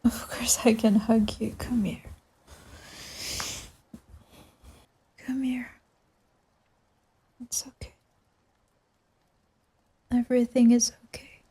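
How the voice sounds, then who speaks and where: A woman playing a tender, sleepy girlfriend in an ASMR comfort roleplay, speaking softly and soothingly. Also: fairly high pitch, flat delivery, slow pace, pauses often, breathy edge, exaggerated voice. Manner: soft, soothing, drowsy, murmuring into whispers.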